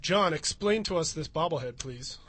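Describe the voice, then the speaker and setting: A man hosting a show, chatting casually and joking in a deadpan way. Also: fairly high pitch, normal speed. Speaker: show host.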